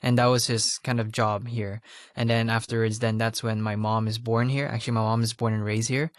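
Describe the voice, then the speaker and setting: A young man with a mid-range voice talks through his answers in a relaxed, rambling way. His voice is recorded close and dry. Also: mid-range voice, no room sound. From Hong Kong. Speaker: interview guest. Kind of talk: casual interview answers.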